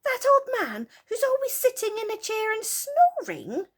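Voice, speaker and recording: An earnest storybook child full of astonishment, played by a woman reading aloud. The voice is high and exclaiming, with very lively swings in pitch. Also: measured pace, heavily acted. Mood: astonished. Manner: exclaiming, emphatic. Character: astonished, earnest children exploring an enchanted wood.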